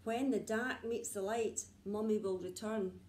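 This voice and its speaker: A woman's voice: medium pitch, steady tone, measured pace, a little mellow, slight background noise, close to an everyday voice. A woman voicing a lost young male owlet in a storybook, earnest and wide-eyed as he searches for his mother. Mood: wistful, earnest. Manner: wide-eyed, earnest, simple childlike phrasing. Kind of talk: storybook character voice. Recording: roomy indoor echo.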